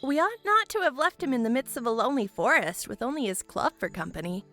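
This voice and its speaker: A woman's voice: fairly high pitch, expressive, fast talker, pushed and bright, soft background music. A woman playing a weary, stubborn airship pilot and mechanic in a fantasy audio drama, plain-spoken and insistent. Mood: concerned.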